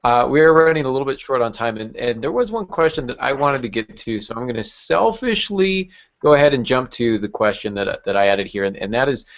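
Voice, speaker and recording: A man's voice: medium pitch, animated, a little fast. A man hosting a podcast, casually interviewing and building his questions in a rambling, tentative way. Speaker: podcast host. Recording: dull lo-fi podcast audio.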